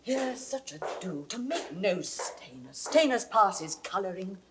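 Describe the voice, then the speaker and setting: An actress playing a proud, sharp-tongued noblewoman in commanding, theatrical Shakespearean style on a vintage recording. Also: mid-range voice, big pitch swings, unhurried. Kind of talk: classical Shakespearean stage acting. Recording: echoey vintage recording, faint noise.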